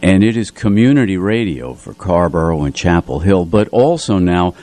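A man's voice: low voice, expressive, normal speed, a little mellow. A man working as a radio announcer and co-host, chatting casually and effusively in a studio, often repeating himself for emphasis. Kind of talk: casual radio chat and show introduction. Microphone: studio radio microphone.

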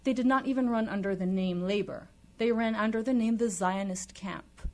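Israeli-accented woman explaining politics deliberately. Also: medium pitch, a little mellow. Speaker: interview guest.

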